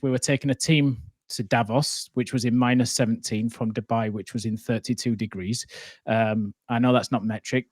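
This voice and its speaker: A man's voice: mid-range voice, no echo. A man who is a hospitality entrepreneur guest, telling casual, rambling anecdotes and swearing freely.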